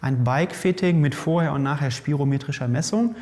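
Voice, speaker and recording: A man's voice: fairly high pitch, a little fast. Man talking shop in a reverberant lab. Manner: lively, explaining, restarts mid-sentence. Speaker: host. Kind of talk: casual expert conversation. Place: bike fitting lab. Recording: long reverb in a lab room.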